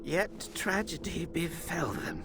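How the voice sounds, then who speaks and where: An actor playing a grave, scholarly male healer in a video game, speaking in a solemn, measured, formal manner. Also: on the high side, sing-song, over music, full character voice. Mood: sorrowful, grave. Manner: solemn, measured, formal old-fashioned phrasing. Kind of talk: video game cutscene acting.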